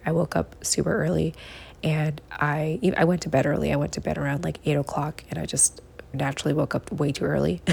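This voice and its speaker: Female podcast host, hushed morning voice, husky and very creaky, casual encouraging advice. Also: deep voice. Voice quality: quiet, hushed early-morning voice. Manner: encouraging, conversational, repeats phrases for emphasis. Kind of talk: casual solo podcast talk giving productivity tips. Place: living room at home.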